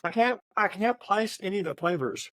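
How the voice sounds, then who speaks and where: A man co-hosting a podcast in casual book chat, speaking haltingly and often repeating words as he goes. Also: high voice, animated, fairly bright tone. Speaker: podcast co-host.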